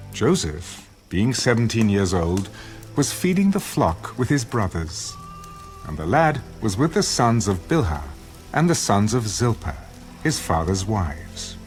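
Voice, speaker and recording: Low-voiced man narrating scripture over music. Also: big pitch swings, slow. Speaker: narrator of a dramatized audio Bible.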